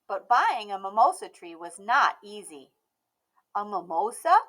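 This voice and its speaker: A woman reading a children's picture book aloud as an expressive narrator. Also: medium pitch, moderate pace. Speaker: storybook read-aloud host.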